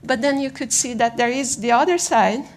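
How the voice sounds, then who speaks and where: A woman from Brazil with a Brazilian accent giving an informal conference presentation in a halting, conversational manner, often checking in with the listeners. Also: fairly high pitch, a little hiss or hum. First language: Portuguese. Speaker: conference speaker on design.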